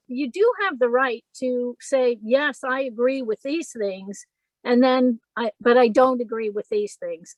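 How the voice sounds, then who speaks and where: Woman webinar presenter, fairly high voice, dry close sound, casual and halting. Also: no echo, poor audio. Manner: friendly, many fillers and restarts. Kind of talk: casual explanatory webinar talk.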